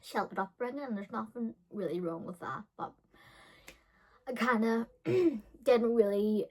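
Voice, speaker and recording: A woman's voice: on the high side, expressive, measured pace. A woman in her early thirties telling a personal story casually to viewers, rambling and often correcting herself with lots of fillers. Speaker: beauty and storytime vlogger.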